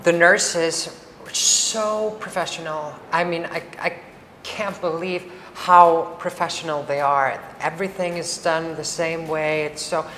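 Low-voiced woman from Iceland, talkative. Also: fairly even intonation, measured pace, hardly any pauses, fairly bright tone, a little hiss or hum. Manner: chatty, many fillers and restarts. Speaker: interviewee. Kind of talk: casual interview. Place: large bright hall with wood screens. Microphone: lapel mic. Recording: roomy hall echo, faint ambience.

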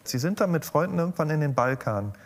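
A man hosting a TV talk show, asking his guest measured questions with a few fillers. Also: fairly high pitch, lively intonation. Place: TV studio with audience. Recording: reverberant studio with faint audience.

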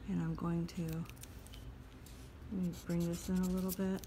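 A woman calmly talking through a step-by-step drawing lesson as she sketches at a table. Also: lowish voice, slight background noise. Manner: calm, unhurried, talks while drawing. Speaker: drawing instructor. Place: at a table indoors.

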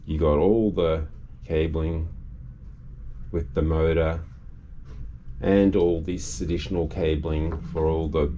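An older man, casually explaining a project aboard his boat in an unhurried, halting way. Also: very deep voice, fairly even intonation, warm tone, dull audio, a little hiss or hum. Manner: unhurried, halting with restarts and fillers. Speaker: boat owner and video maker. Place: cabin and engine room of a sailing catamaran.

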